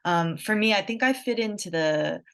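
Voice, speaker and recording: A woman's voice: medium pitch, expressive, moderate pace, vocal fry, a bit bright. A woman from the United States, a journalist answering audience questions in a chatty, reflective way with lots of fillers. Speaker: guest speaker, journalist. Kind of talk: casual Q&A talk.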